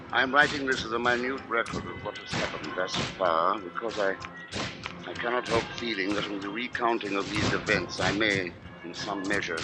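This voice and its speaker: Actor as brooding writer over loud radio music. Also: male voice, lowish voice, natural voice. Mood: haunted, grave. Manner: literary, deliberate, brooding. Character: John Waite, troubled middle-aged male writer recounting strange events. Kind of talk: 1950s radio drama first-person narration.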